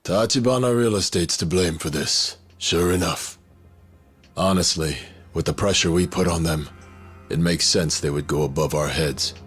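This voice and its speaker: An actor playing a cold, calculating, ambitious yakuza officer in a video game dub, speaking with measured control. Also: male voice, sits low, fairly bright tone, breathy edge, soft background music. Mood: cool, resolute.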